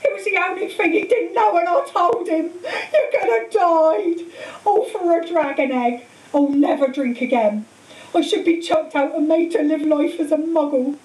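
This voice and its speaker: A woman voicing a huge, gruff, tender-hearted man with blunt rustic speech and emotional, drawn-out delivery. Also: on the high side, lively intonation, mellow, natural voice. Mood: distraught, sobbing. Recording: hissy, roomy, distant microphone.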